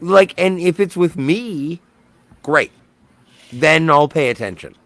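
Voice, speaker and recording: A man's voice: fairly high pitch, expressive, slow pace, gravelly, a bit bright, no room sound. Blunt rambling male show host. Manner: blunt, rambling, jokingly self-important. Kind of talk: casual panel chat.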